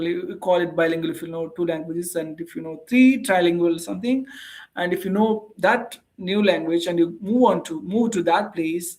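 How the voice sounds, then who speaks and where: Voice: medium pitch, a little mellow. A tutor who is a native Telugu speaker with an Indian English accent, explaining things earnestly in casual conversation practice and often rephrasing points. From Andhra Pradesh, India.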